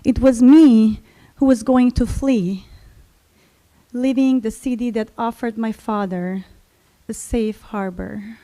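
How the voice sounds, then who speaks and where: A woman from Syria, telling a personal story to an audience in a slow, reflective way. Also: on the high side, steady tone, mellow, low-quality recording. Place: library event stage.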